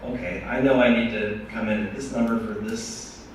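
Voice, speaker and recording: A man's voice: fairly low pitch, fairly even intonation, normal speed. A man moderating a design panel, thanking the speakers, summing up and opening the floor to questions. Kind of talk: panel discussion wrap-up. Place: event hall with audience. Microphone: handheld mic heard from a distance. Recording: echoey hall, distant mic, background noise.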